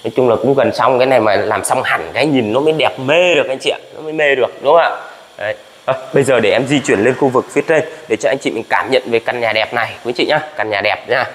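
A young man, a real estate agent from Vietnam, walking viewers through an empty new house with an upbeat, repetitive sales pitch that keeps addressing them politely.

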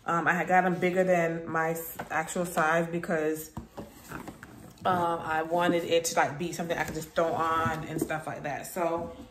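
Low-pitched woman vlogging in an echoey room. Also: level tone, normal speed, fairly bright tone. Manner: informal, chatty, repeats phrases. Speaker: lifestyle vlogger. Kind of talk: casual vlog chat to viewers. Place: rooms at home, indoors. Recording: roomy echo, background noise.

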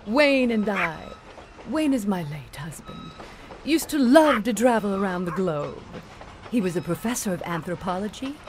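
An actress playing a chatty, eccentric older widow who tells folklore in a grandiose, theatrical way in an audio drama. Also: female voice, medium pitch, slight background noise, close to an everyday voice. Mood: eager, lecturing. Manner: theatrical storytelling, grandiose diction.

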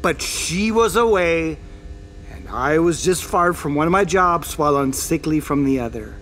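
A man's voice: on the high side, moderate pace, fairly bright tone, slight background noise, lightly acted. A man playing a crude, unrepentant middle-aged drunkard father in an audio drama, flippant, hesitant and foul-mouthed. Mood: rambling, defensive.

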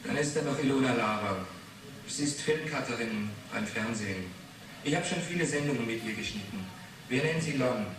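A man's voice: medium pitch, lively intonation, brisk, natural voice. An actor in a 1980s radio play playing a defensive, irritable man under questioning, evasive and explanatory. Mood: guarded. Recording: very echoey, noisy, distant radio drama.

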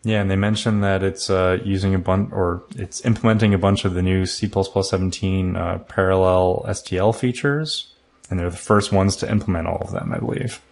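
Gravelly low-voiced male podcast host. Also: steady tone, brisk, a little mellow. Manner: reads bios and news items with fillers. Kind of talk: reading intro, casual chat.

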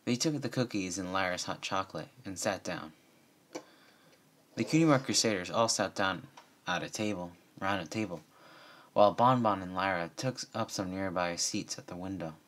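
A man with a fairly low voice reads a story aloud like a homemade audiobook. His delivery is a little halting, with the odd stumble. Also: fairly bright tone. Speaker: reader of fan fiction. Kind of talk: amateur audiobook-style story reading.

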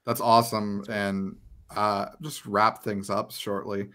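Man, thirties, slightly low pitch, casual conversational podcast host. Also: level tone, slow pace, a bit bright. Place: home room, indoors.

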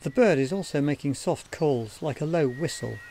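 A man giving scripted documentary narration for a wildlife training film in a measured, explanatory manner. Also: a little high, lively intonation, warm tone.

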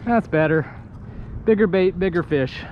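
A middle-aged man talks to himself while fishing from a kayak, exclaiming as he goes, in a voice that sits high for a man. He is recorded on an action camera mounted on the boat, with loud wind and water noise all around. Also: a little slow, dull audio. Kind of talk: casual running commentary while fishing. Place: kayak on open water outdoors.

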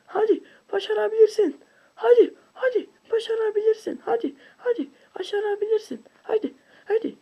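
An excitable video creator with a high voice, giving casual, slangy gameplay commentary with shouts and whoops. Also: a little fast, highs cut off.

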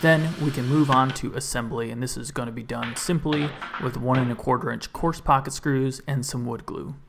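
A man's voice: mid-range voice, fairly even intonation, normal speed, creaky, noisy background. A man in his thirties, host of a woodworking channel, narrating his build in a voice-over and explaining each step with upbeat enthusiasm for his tools.

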